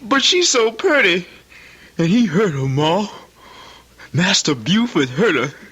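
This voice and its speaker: An actor playing a simple-minded, childlike country son with a Southern American accent and halting, repetitive speech in a radio drama. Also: male voice, high voice, very animated, a bit bright, husky, lo-fi sound, full character voice. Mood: distressed.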